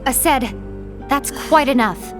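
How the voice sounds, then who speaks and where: An actress playing a calm, dutiful young woman in a video game, speaking in a measured, formal, diplomatic way. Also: sits high, over music. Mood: firm. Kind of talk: video game cutscene acting.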